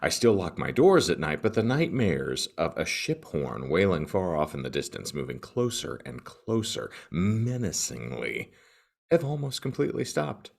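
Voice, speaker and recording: A man with an extremely low, gravelly voice hosts a podcast on his own. His delivery swings widely in pitch, with florid, wordy phrasing and a tongue-in-cheek, theatrical touch. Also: sing-song, moderate pace, darkish tone. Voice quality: gravelly morning voice. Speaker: podcast co-host. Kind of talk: solo podcast monologue, comic reading of reviews.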